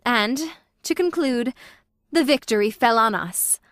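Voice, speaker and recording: A woman's voice: fairly high pitch, animated, unhurried, fairly bright tone, dry recording, studio quality. A woman playing a loyal noble thane delivering war news in formal verse for an audiobook drama. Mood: proud, formal.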